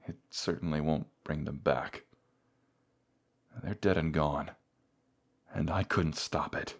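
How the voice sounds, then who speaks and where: A low-voiced, proud knight, acted out by a man, speaks straight to the listener in a fantasy audio drama. He is bratty and haughty, grumbling through his wounds while hiding grief beneath his pride. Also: full character voice. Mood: bitter, reluctant. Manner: haughty, grumbling, reluctantly vulnerable. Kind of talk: scripted audio roleplay addressed to the listener.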